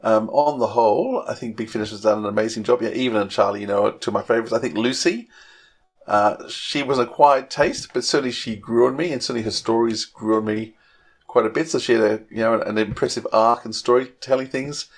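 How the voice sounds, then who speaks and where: A man's voice: mid-range voice, quick pace. A middle-aged man co-hosting a podcast in casual fan chat, opinionated and often hesitating as he thinks aloud. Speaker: podcast co-host.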